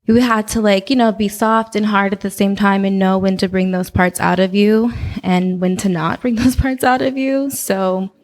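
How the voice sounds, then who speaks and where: A young woman from Oakland with a low voice, chatting casually as a podcast guest with lots of fillers. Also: normal speed. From Oakland, California. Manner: relaxed, chatty, with frequent fillers. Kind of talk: casual interview chat.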